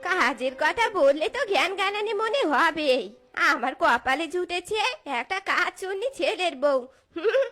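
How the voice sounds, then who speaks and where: A bold old jackal mother-in-law from a cartoon , played by a woman, scolding and accusing. The voice is very high-pitched and nagging, with clear music behind it. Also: expressive, moderate pace, a bit bright. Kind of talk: cartoon voice acting.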